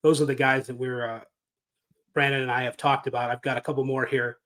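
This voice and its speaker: A man's voice: mid-range voice, flat delivery, a little fast, fairly bright tone. A man co-hosting a casual sports podcast, thinking aloud and musing over trade ideas. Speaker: podcast co-host.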